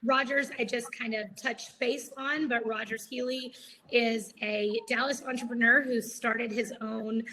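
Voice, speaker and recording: A woman with a bright, clear, fairly high voice moderates a panel over a video call. She chats along loosely, with plenty of ums and uhs. A faint hiss sits under her voice. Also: fairly even intonation, measured pace, poor audio. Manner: chatty, frequent filler words.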